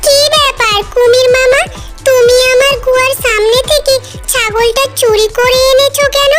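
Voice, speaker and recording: A performer voicing a small pleading fox in a children's cartoon story, exclaiming in a childlike, plaintive way over loud music. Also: very high voice, little pitch movement, moderate pace. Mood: indignant. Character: small pleading fox who keeps a pet goat.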